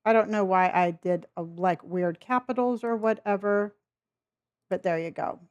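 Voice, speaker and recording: A woman's voice: medium pitch, normal speed, highs cut off. A woman in her early sixties chatting casually with her live-stream viewers while playing a game, reading their messages aloud and often trailing off and restarting. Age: 60s.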